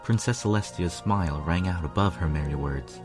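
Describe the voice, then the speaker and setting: A man narrating a story in the first person with a measured, earnest, dramatic delivery. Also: sits low, over music.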